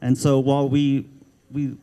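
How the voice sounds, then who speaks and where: A man's voice: medium pitch, completely flat delivery, unhurried, dark tone, clean tone. A Filipino American man, an art director, speaking at a book launch with frequent ums and restarts. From United States. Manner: hesitant, many ums and restarts.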